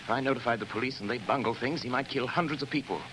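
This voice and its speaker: An actor playing a resolute, noble gentleman hero who speaks firmly and evenly, in a 1930s radio drama. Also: medium pitch, noisy background, natural voice. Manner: firm, measured.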